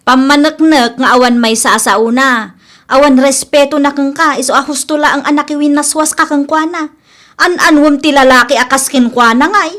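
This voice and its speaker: A woman's voice: high-pitched, fairly even intonation, moderate pace, fairly bright tone. A woman playing a gossipy, supportive young best friend in an Ilocano comedy drama, chatty and teasing. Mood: indignant.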